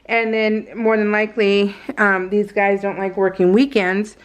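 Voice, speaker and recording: A woman's voice: mid-range voice, little pitch movement, a little slow, low-quality recording. A woman craft vlogger chatting casually in a giggly, meandering way as she goes through her scrapbook pages. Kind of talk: casual rambling chat over scrapbook pages.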